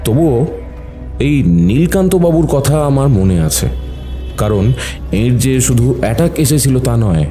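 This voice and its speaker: A man's voice: mid-range voice, sing-song, unhurried, pauses often, warm tone, soft background music. A man acting a shrewd, observant doctor who helps a detective friend in an audio mystery drama. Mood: reflective, measured. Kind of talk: Bengali audio story acting.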